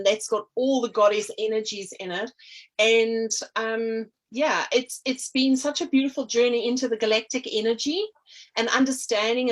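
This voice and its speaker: A middle-aged South African woman talking casually in an interview, meandering with many ums and restarts. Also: mid-range voice. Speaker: energy healer and artist, guest.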